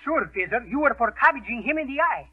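An actor playing an excitable Greek restaurant owner in 1930s radio comedy, with a Greek accent and garbled, mock-learned English. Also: male voice, very high voice, fast talker, very noisy. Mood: genial, warning. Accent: Greek, put on. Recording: muffled, band-limited 1930s radio recording.

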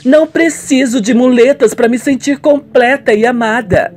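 Performer as young rich daughter, arrogant, affected, emphatic, high-pitched, radio melodrama. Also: normal speed, a little mellow, faint music behind, lightly acted. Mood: indignant.

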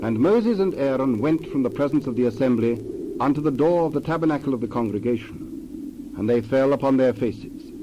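A man narrating a dramatized scripture passage in a steady storytelling way. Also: mid-range voice, moderate pace, background noise.

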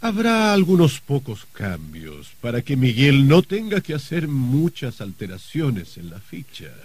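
A man's voice: mid-range voice, wildly swooping pitch, a little mellow, slightly rough, no room sound, exaggerated voice. An actor playing a wry, confident rich man facing his own murder, in theatrical old radio drama style. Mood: polite, controlled. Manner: theatrical, deliberate, sardonic. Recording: vintage radio drama recording.

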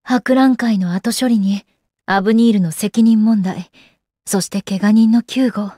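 A woman's voice: mid-range voice, fairly even intonation, unhurried, warm tone, husky, no room sound, clean studio sound. An actress playing a proud, composed young goddess warrior in a game, with refined, ladylike and formal speech. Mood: poised. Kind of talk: Japanese anime game character acting. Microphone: close dry studio microphone.

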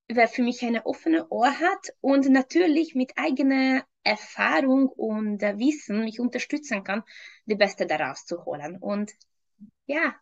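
A woman's voice: fairly high pitch, vocal fry, highs cut off. A woman in her thirties from Hungary with a Hungarian accent, answering interview questions over a video call in a hesitant way, with many ums and frequent laughs. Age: 30s. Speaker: interview guest, fitness trainer. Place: home room.

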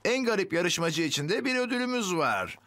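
A man's voice: high-pitched, very animated, a little fast. A man voicing a brave, upbeat firefighter hero in a dubbed children's cartoon, earnest and authoritative. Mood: cheerful, announcing.

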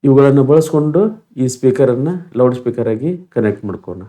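A man's voice: medium pitch, brisk, dark tone. A man presenting an informal step-by-step tutorial demonstration.

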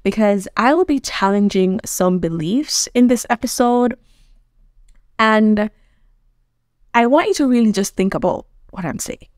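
A woman's voice: mid-range voice, lively intonation, measured pace, no echo, studio quality. A woman from Jamaica, hosting a motivational self-improvement talk, earnest and conversational, often checking in with the viewer. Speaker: self-improvement coach and host.

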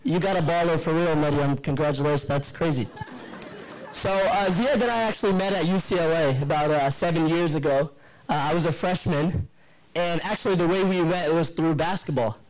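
A hoarse young man in his twenties giving a rambling, joking wedding speech into a handheld mic in a banquet hall. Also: a little high, fairly quick. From Yemeni descent. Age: 20s. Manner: rambling, self-deprecating storytelling with many fillers. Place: large banquet hall, indoors. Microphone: handheld microphone.

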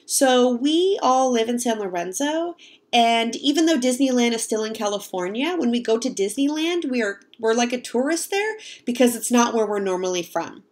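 A young woman reading a picture book aloud to children in an expressive, explanatory way. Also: on the high side, moderate pace. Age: young adult. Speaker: read-aloud storyteller, teacher. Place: home kitchen, indoors.

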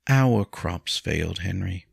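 Casting: bitter, scornful peasant wife read by a male narrator, mousy but defiant, lively pitch swings, storybook drama. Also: takes it slowly, vocal fry, breathy edge, dry recording, clean studio sound, exaggerated voice. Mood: bitter, flat. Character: short chubby bitter serf woman, scornful and defiant.

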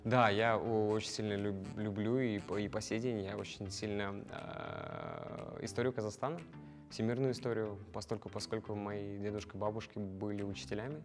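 A man's voice: lowish voice, normal speed, faint music behind. A young man from Kazakhstan in a studio interview, chatty and joking, wearing a lapel mic. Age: young adult. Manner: jokey, animated, laughs at himself. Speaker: show participant. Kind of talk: casual interview. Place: studio with grey backdrop.

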